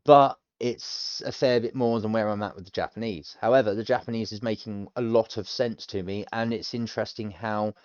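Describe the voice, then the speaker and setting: A man's voice: on the low side, steady tone, fairly quick, hardly any pauses, a little mellow, clear, resonant tone, no room sound, highs cut off. A British man in his thirties, talking casually and rambling as he works through a language course, self-deprecating and chatty. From United Kingdom. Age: 30s. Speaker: language learner streamer. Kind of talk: casual thinking-aloud commentary.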